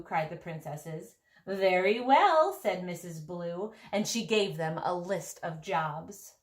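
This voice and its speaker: A woman reads a children's storybook aloud with a very lively, swooping pitch. Her pace is slow, and she leans on key words and stretches out phrases expressively. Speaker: storybook reader. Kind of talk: animated picture-book read-aloud.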